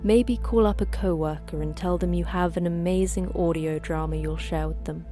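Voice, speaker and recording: A woman's voice: fairly low pitch, steady tone, moderate pace, mellow, soft background music. A woman announcer reading scripted podcast credits.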